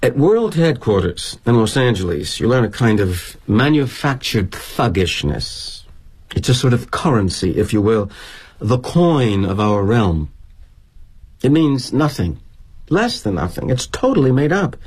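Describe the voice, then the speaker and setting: An actor playing a jaded middle-aged corporate executive, delivering a darkly wry, self-justifying stage-style monologue with arch, mannered phrasing. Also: male voice, medium pitch, big pitch swings, unhurried, darkish tone, slightly rough, heavily acted. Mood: cynical, bleak. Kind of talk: dramatic monologue, theatrical storytelling.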